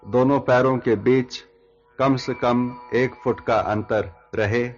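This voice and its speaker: A man leading a guided yoga nidra relaxation as instructor, giving measured instructions in short phrases with long pauses. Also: mid-range voice, steady tone, muffled recording. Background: background music.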